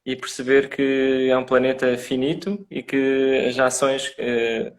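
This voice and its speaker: A man's voice: medium pitch, steady tone, measured pace. Man, european portuguese accent, hesitant and thoughtful, video call guest. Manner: thoughtful, many hesitant "eh" fillers. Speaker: guest, association president. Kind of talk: live video call interview.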